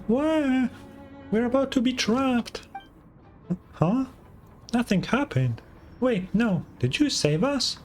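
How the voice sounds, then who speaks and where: A small, excitable video game companion, acted out by a man, pitched well above a usual male voice. The delivery is animated, full of anxious questions and caring exclamations. Music plays clearly behind it. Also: takes it slowly, pauses often, exaggerated voice. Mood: alarmed, puzzled.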